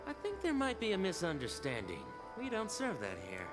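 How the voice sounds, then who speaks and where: A man's voice: high voice, huge pitch swings, normal speed. An actor playing a polite, accommodating male hospitality worker in a video game, formal and obliging in manner. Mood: courteous, puzzled. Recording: game music behind the voice.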